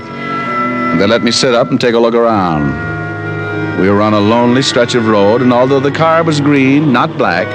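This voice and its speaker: A man plays a flippant hard-boiled private eye in a mid-range voice. He tells his story with wry wit in old radio style. Music is clearly heard behind him. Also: mid-range voice, close to an everyday voice. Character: wisecracking male private detective telling his own story. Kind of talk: 1950s radio detective drama narration and acting.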